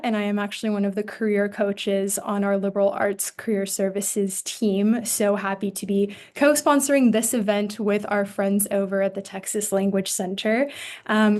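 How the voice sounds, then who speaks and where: A woman opens an online career panel as its coach and host. Her pitch stays level as she runs through announcements in an upbeat, steady way, with plenty of fillers. The sound is plain video-call audio. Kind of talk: event welcome and announcements. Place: home or office on a video call.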